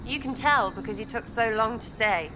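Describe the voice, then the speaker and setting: An actress playing a blunt, irreverent young rogue in a video game, with a slangy way of talking. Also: female voice, on the high side, normal speed, in a large hall, music in the background, close to an everyday voice. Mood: irreverent, pointed.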